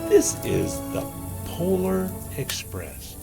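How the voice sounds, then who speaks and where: A man voicing a hearty male train conductor in a storybook, calling out to passengers in booming, drawn-out commands. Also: huge pitch swings, gravelly, over loud music, heavily acted. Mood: hearty, proclaiming.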